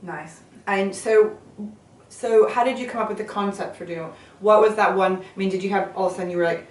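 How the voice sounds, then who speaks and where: A woman's voice: medium pitch, brisk, darkish tone. A woman hosting a casual interview show, upbeat and encouraging, often chiming in with short agreements. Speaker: host, interviewer. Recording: echoey room, mic at a distance, faint noise.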